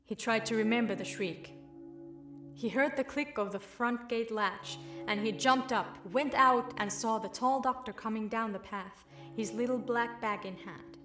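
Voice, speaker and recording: A woman's voice: fairly high pitch, measured pace, a bit bright. A woman reading scripted story narration as the narrator of a radio drama. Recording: roomy, echoing voice track. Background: music.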